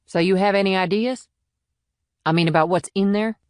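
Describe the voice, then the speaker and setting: Man voicing a blunt, higher-pitched woman investigator. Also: moderate pace, clear, resonant tone, no echo, clean studio sound, natural voice. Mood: eager, insistent.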